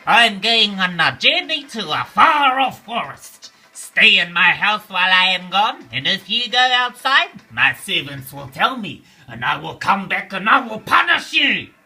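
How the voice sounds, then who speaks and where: Storybook villain, old bird woman, played by a man, cackling, menacing, drawn-out delivery, very sharp tone, swooping pitch. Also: very animated, heavily acted. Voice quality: cackling, screaming. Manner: slow, drawn-out threats.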